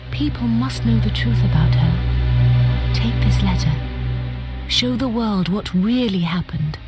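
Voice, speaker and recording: A mournful ghost of a young noblewoman from a fantasy game. She speaks slowly, with airy, plaintive longing. Very loud music surrounds her. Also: mid-range voice. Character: sad, yearning ghost of a murdered young noblewoman. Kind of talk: melodramatic fantasy game acting.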